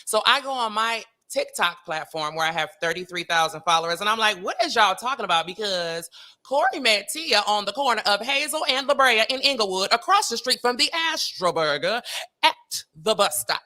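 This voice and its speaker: A woman's voice: mid-range voice, lively intonation, moderate pace, pushed and bright. A middle-aged woman from Los Angeles telling gossipy stories as an interview guest, brash, sassy and emphatic. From Los Angeles, United States. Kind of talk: gossipy storytelling.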